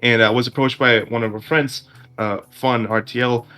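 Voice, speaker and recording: Bright-voiced man. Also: medium pitch, fairly even intonation, normal speed, soft background music. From Brazil. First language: Portuguese. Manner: earnest, with restarts. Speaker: podcast co-host. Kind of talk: casual chat.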